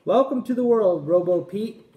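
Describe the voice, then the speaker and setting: A man's voice: sits high, animated, moderate pace, narrow, tinny audio, voice pushed up. A man voicing a cheerful young male cat in a storybook read-along, with an eager, friendly way of talking. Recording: roomy echo.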